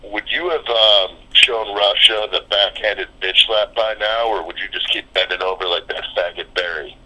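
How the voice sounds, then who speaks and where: A man's voice: fairly low pitch, steady tone, normal speed, sharp tone, poor audio. A man calling into a talk show, crude and provocative. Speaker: caller.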